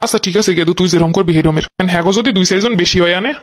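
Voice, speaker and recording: A man's voice: high voice, very fast. A man voicing grumbling, put-upon cartoon villagers at a wedding, arguing in a Barisal Bangla accent. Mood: protesting. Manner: complaining, argumentative. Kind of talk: comic cartoon dialogue.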